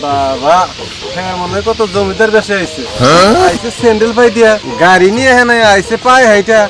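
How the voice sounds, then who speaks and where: A man plays a touchy, feuding poor in-law in a comedy drama. The voice is extremely high and quick, with a Bangladeshi accent and an indignant, quarrelsome edge. Clear music sits behind it. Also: natural voice. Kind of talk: Bengali comedy drama acting.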